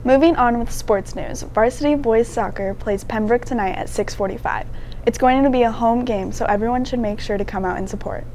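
A woman's voice: on the high side, a little fast, darkish tone, slight background noise, low-quality recording. A teenage girl co-anchoring a school newscast and reading sports announcements. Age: teens. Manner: scripted announcements. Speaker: student news anchor. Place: school TV studio desk.

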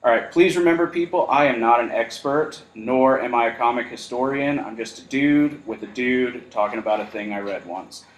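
A man's voice: medium pitch, moderate pace, few pauses. A man hosting a podcast in casual chat, joking and self-deprecating. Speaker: podcast host. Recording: roomy echo.

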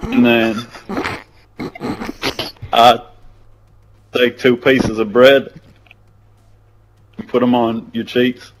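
A man making a prank phone call in a deadpan, hesitant, drawn-out way. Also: medium pitch, a little hiss or hum. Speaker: prank caller.

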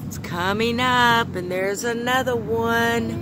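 A woman's voice: a little high, takes it slowly, noisy background. A woman in a backyard garden filming on her phone and patiently coaxing a small child with simple questions. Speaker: mother giving a garden tour. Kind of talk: casual home video chat with a toddler. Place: backyard vegetable garden outdoors. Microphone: phone camera held while filming.

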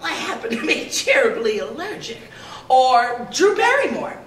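A woman's voice: high-pitched, sing-song, measured pace, reverberant, distant mic, heavy background noise. A woman in her twenties introducing herself with showy, theatrical comedy. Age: 20s. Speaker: performer. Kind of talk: comic self-introduction.

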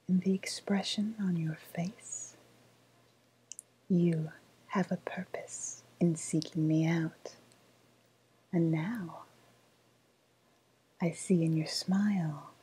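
Woman playing a slow, breathy whispering witch. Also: fairly low pitch, mellow, exaggerated voice, low-quality recording. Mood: coaxing, knowing. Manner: slow, deliberate, coaxing. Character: sly woodland witch, dealing in dark love magic. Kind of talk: ASMR roleplay, soft-spoken close whispering.

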